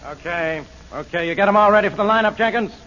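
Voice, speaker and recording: An actor in a 1940s radio drama playing a bossy police inspector who barks curt orders. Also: male voice, high voice, fast, dull audio, over loud music, close to an everyday voice. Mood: brusque. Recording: noisy old radio broadcast.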